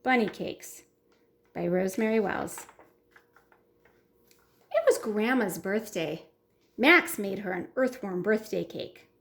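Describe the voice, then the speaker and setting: A woman hosting a children's story time and reading a picture book aloud in an expressive way. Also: medium pitch, slow, vocal fry, long pauses. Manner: warm, expressive storytelling for kids.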